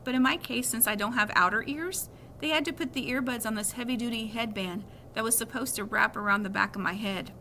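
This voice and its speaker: A woman's voice: a little high, a little fast, few pauses, sharp tone, slight background noise. A woman reading a children's novel aloud in a storytelling style as a first-person narrator.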